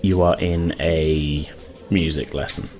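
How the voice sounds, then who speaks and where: Low-voiced man narrating a game. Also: steady tone, slow pace, darkish tone. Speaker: game master. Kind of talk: improvised narration of a tabletop game.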